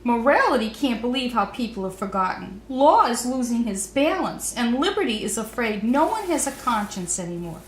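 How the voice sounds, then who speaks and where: A woman's voice: fairly high pitch, sing-song, moderate pace, few pauses. A woman voicing a forlorn, aggrieved statue woman in a children's storybook, in a plaintive, emphatic way. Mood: sobbing, aggrieved. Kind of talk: children's storybook read-aloud. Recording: roomy echo, faint background noise.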